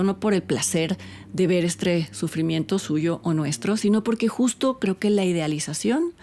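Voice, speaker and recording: A woman film critic hosting a review segment, talking in a measured, reflective way with the odd filler. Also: on the low side, a little mellow. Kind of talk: scripted film review commentary.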